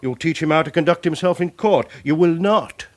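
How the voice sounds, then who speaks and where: An actor with a British accent playing a brisk, commanding male police chief inspector, giving curt orders in a radio drama. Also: fairly high pitch, close to an everyday voice. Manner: curt, authoritative, clipped orders. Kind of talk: 1980s radio drama acting.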